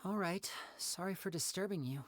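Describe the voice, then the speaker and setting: An actor voicing a young male stage magician in a video game, talking in a gentle, persuasive, measured way. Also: mid-range voice, dry recording, close to an everyday voice. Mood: calm.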